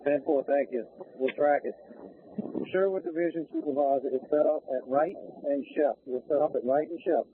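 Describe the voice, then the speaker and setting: A man's voice: fairly high pitch, normal speed. A man working as a fire supervisor, giving terse, procedural directions over a two-way radio. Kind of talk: terse emergency radio traffic. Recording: noisy narrow-band fire scanner radio audio.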